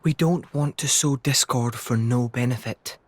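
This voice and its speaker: A man's voice: medium pitch, measured pace, no room sound, lightly acted. A man playing a grave, thoughtful, worried monarch in a video game, speaking formally and with measure. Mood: somber. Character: grave, thoughtful male monarch burdened with worry. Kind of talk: dubbed video game cutscene acting.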